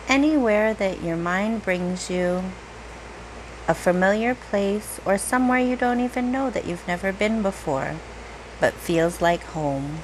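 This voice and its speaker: A woman leading a guided meditation in a gentle, unhurried manner, leaving long pauses between phrases. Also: medium pitch, slight background noise, rough recording. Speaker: meditation guide, reiki teacher. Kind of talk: guided meditation, slow soothing narration.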